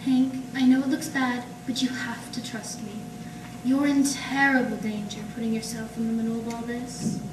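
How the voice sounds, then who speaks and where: Actress as pleading, secretive noir dame, dramatic 1940s radio style, higher register, far from the mic in a roomy space. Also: female voice, little pitch movement, normal speed, lightly acted. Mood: urgent, pleading. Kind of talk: 1940s radio melodrama acting. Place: live performance in an art gallery. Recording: distant mic in echoey noisy room.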